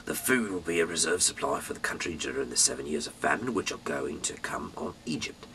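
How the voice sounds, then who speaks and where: A man with a very low, bright-edged voice reads scripture aloud. His delivery is theatrical and halting, moving between emphatic bursts and breathy hushed phrases. There is faint noise behind him. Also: expressive, rough recording. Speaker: Bible reader, amateur narrator. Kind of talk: dramatic, off-the-cuff reading aloud of scripture.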